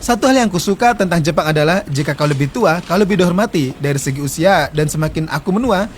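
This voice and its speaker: A man in his forties explaining customs in a casual interview, quick and earnest. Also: fairly high pitch, animated, slight background noise. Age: 40s. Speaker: interview guest.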